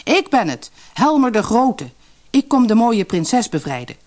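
A woman's voice: on the high side, big pitch swings, a little slow, voice pushed up. A woman voicing an arrogant, hot-tempered young male knight in a storybook, boastful and scornful. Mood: boastful, demanding. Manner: boastful, scornful, shouting or muttering.

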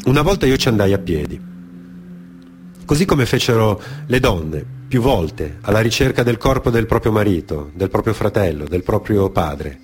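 A man performing a theatrical narrative monologue for radio, in a dramatic, deliberate manner with repetitions and weighted pauses. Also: deep voice, darkish tone. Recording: music behind the voice. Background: music.

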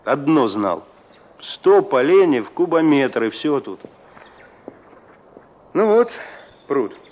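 A man's voice: a little high, very animated, slow pace, a little mellow, heavily acted. An actor playing a plain, practical young village man in a Soviet radio drama, wistful and sensible in colloquial speech. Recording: muffled old radio drama recording.

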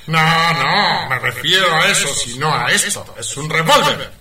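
A man's voice: on the high side, expressive, moderate pace, a crowd in the background, lightly acted. A man playing a bossy, ruthless crook in a comic radio play, with commanding, mock-menacing, theatrical delivery. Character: bossy, ruthless crook leading greedy gold miners. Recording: echoey, distant studio sound.

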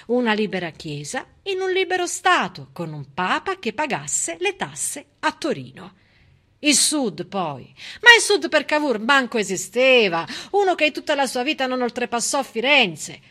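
A woman's voice: on the high side, bright, forceful tone. A woman stage performer delivering a civic monologue with emphatic, dramatic storytelling. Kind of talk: theatrical monologue, civic oration.

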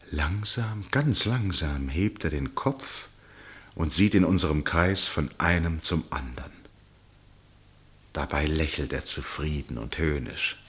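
A man playing a grave, concerned gentleman who narrates a radio drama in a dramatic, deliberate manner. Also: very deep voice, slightly rough, exaggerated voice.

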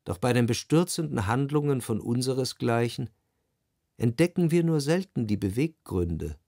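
An actor playing a reflective, well-to-do narrator in a radio play, telling of society life in measured, deliberate phrases. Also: male voice, mid-range voice, animated, dark tone. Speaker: first-person narrator of a literary radio drama. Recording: dry studio with clear music. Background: music.

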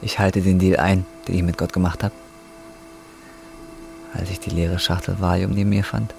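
A man's voice: deep voice, level tone, normal speed, vocal fry, a little mellow, a little hiss or hum. An actor in a radio drama playing a desperate, bitter drug courier in his twenties, coarse and weary. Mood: weary, resolute. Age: 20s. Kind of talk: radio drama acting and first-person narration.

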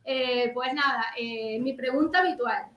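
A woman with a Venezuelan accent hosting a live comedy show in a joking, animated manner. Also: high voice, measured pace, dull audio. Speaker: podcast host, comedian. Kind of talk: live stand-up style hosting before an audience. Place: live comedy venue with audience. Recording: echoey live venue, clearly noisy.

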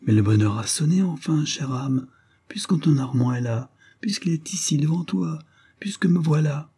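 An anguished nobleman pleading with the woman he loves, performed by a male reader. His voice climbs high and has a husky, breathy edge. The delivery is impassioned and melodramatic, as in an old romantic novel. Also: expressive, normal speed, warm tone. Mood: tender pleading. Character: ardent, anguished count pleading with his beloved wife.

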